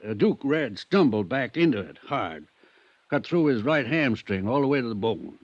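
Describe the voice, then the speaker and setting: Older folksy country vet, animated. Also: male voice, mid-range voice, normal speed, dry recording. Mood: explaining. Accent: American. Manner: folksy, plain-spoken, testy. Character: older male country veterinarian, folksy, defensive, stubborn. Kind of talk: 1950s radio acting. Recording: dull vintage radio recording.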